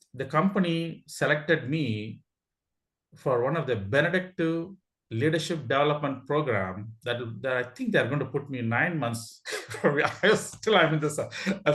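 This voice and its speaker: A man's voice: on the high side, fairly bright tone. A man with an Indian accent eagerly sharing a story on a video call, laughing and restarting his sentences. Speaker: club member sharing a story. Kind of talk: casual meeting talk. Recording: echoey room.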